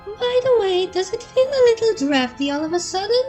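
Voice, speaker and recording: A woman voicing a hyper, mischievous young female cartoon pony with an excitable, squealing delivery. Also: very high-pitched, a little fast, soft background music, pitched above the performer's own voice. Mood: startled.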